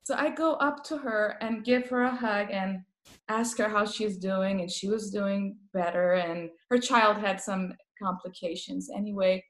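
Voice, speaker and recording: A woman's voice: medium pitch, unhurried. Persian-accented woman in an echoey room. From Iran. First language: Farsi. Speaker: guest, palliative care director. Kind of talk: casual interview talk.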